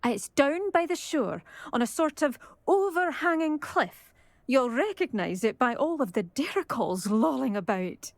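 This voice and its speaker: A woman's voice: high-pitched, very animated, a little slow, dry recording, exaggerated voice. An actress playing a vain, chatty, fashion-minded woman in a video game, speaking with breezy, polite self-satisfaction. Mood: coveting, self-satisfied. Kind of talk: video game character dialogue.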